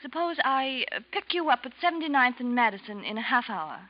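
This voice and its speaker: A woman's voice: high voice, expressive, a little fast. An actress playing an intense, secretly yearning, scheming woman in old-time radio melodrama, earnest and emotionally charged. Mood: agitated, resigned. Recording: muffled, noisy old radio recording.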